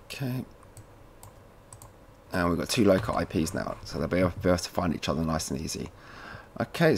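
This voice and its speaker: A man with a mid-pitched voice walks viewers through software one step at a time. His delivery is casual and informal, like an installer showing the guys how it is done. He speaks as a voice-over from his computer. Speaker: tutorial presenter, installer.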